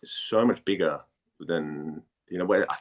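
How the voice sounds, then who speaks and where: A man's voice: sits low, moderate pace. A man from Denmark speaking as a guest in a casual interview, informal, hesitant and self-deprecating. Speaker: interview guest, voice actor and former model.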